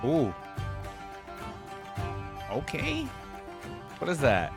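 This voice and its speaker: A man's voice: a little high, huge pitch swings, very slow, creaky. A man hosting a reaction video, thinking aloud in casual, informal commentary. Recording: music playing behind the voice.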